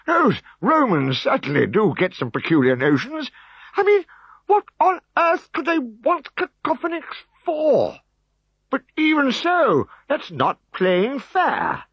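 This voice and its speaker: A man voicing a bossy, excitable Gaulish village chief who blusters and barks orders. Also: very high voice, a little slow, no echo, pitched above the performer's own voice, full character voice. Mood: indignant.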